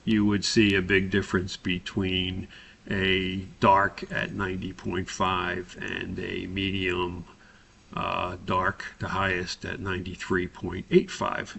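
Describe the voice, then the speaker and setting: A man instructor talking through a software tutorial, explaining and thinking aloud as he goes. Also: low voice, vocal fry, slight background noise. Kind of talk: spoken screen-recorded software tutorial.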